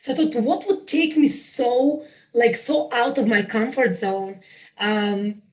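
A woman's voice: on the high side, slow pace, darkish tone, low-quality recording. A woman in her thirties with a Bulgarian accent, a podcast guest chatting casually, bubbly and laughing often at herself. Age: 30s. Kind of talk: casual interview chat.

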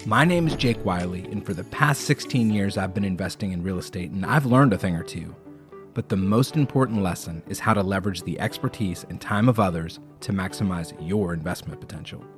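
A man's voice: low-pitched, brisk. A man hosting an interview podcast, reading an intro and welcoming his guest in an upbeat, enthusiastic way. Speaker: podcast host. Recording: dry with faint music bed.

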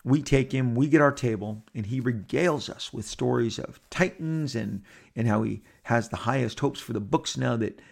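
Gravelly, animated man telling stories. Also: mid-range voice, normal speed. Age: 50s. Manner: animated, rambling, repeats and restarts, quotes others in character. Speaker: podcast host. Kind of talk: casual storytelling monologue.

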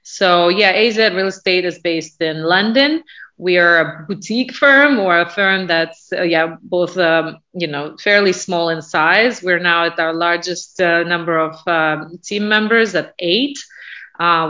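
Brief: Russian-accented businesswoman, 40s, bright tense tone, lowish pitch, halting fillers. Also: highs cut off. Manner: hesitant, many fillers. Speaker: guest, business owner. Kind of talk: interview answers.

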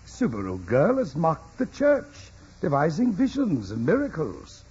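Blustering, animated town mayor. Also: male voice, a little high, measured pace, husky, exaggerated voice. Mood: scheming, urging. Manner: blustering, exasperated. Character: flustered, indignant, evasive male town mayor. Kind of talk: 1940s radio acting. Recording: dull, noisy vintage radio.

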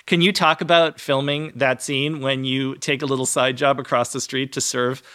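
Bright-voiced male interviewer. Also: medium pitch, level tone, normal speed, smooth. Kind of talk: attentive interview conversation.

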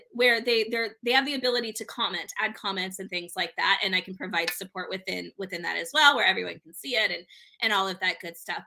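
A woman talks as a guest on a casual business podcast. Her voice is bright, and her manner is upbeat and easygoing, with small repeated words as she explains her process. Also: medium pitch. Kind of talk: casual interview chat.

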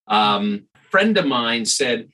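A man's voice: mid-range voice, big pitch swings, takes it slowly, bright, forceful tone. A man who hosts the podcast and is a surgeon, chatting casually and telling stories about his past. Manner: chatty, storytelling asides. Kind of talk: casual interview chat.

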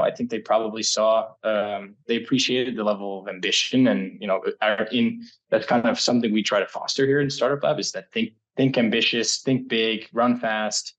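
American man in his thirties, lowish husky voice, casual and rambling interview storytelling. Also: steady tone, moderate pace, hardly any pauses, muffled recording. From United States. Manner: rambling, self-correcting, laughs often. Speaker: guest, startup incubator energy lead.

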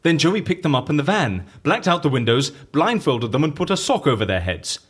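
Animated, husky British eccentric. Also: male voice, on the high side, a little fast. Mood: composed, assured. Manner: quaint exclamations, theatrical swings. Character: eccentric, chatty British male case interviewer, jovial and flustered. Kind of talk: audio drama acting.

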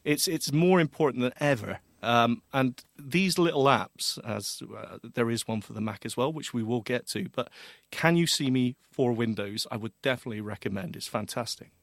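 A man's voice: mid-range voice, moderate pace, dry recording, studio quality. Chatty laughing male podcast co-host. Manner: chatty, laughs often, goes off on tangents. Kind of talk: casual tech chat.

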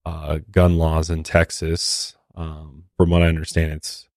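An American man hosting a true crime podcast discussion, talking in a measured, conversational, hedging way. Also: a bass voice, completely flat delivery, darkish tone, no room sound, studio quality. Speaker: podcast host.

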